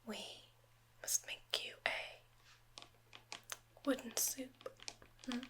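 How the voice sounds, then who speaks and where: A woman voicing a soft-spoken, quietly sinister forest witch healer in a whispered ASMR roleplay, with slow, soothing, drawn-out words and murmured hums. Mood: hushed, soothing. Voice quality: whispering, unvoiced.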